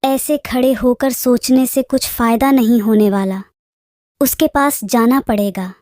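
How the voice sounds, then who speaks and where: A woman voicing a cunning male fox uncle who flatters and wheedles in a children's storybook tale. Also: fairly high pitch, a little slow, dry recording, studio quality, natural voice. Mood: scheming. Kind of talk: children's moral storybook character voice. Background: children's music.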